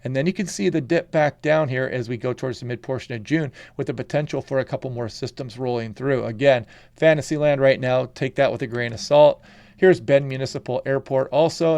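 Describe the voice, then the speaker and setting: A congested man talks his way through forecast maps in an easygoing, conversational manner. His voice has a gravelly, creaky edge, and the recording is dry and close. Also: medium pitch, no echo. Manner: relaxed, conversational, frequent "you know" and "kinda". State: congested from a head cold. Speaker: weather channel host. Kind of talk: casual forecast walkthrough over weather maps.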